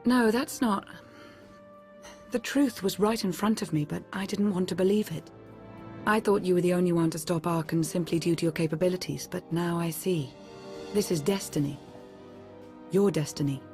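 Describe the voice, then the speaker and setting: Actress as a low-voiced, composed female advisor. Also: darkish tone, faint music behind, natural voice. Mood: earnest. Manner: measured, formal, earnest. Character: composed, earnest female advisor in a space saga. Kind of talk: scripted game cutscene acting.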